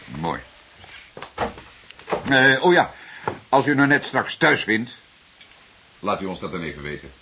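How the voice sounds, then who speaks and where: Deep-voiced, animated police detective. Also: male voice, heavily acted. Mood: reassuring. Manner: deliberate, hesitant, musing questioning. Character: calm, patient senior male police detective, gently probing. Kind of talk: naturalistic crime drama acting. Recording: hissy recording, faint noise.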